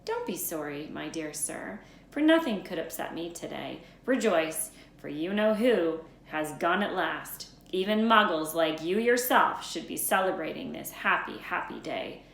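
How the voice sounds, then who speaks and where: A woman's voice: expressive, measured pace, vocal fry, few pauses, a bit bright, heavily acted. Woman reading as a gushing tiny old man, echoey. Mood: joyful, gushing. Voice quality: squeaky. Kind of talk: storybook read-aloud.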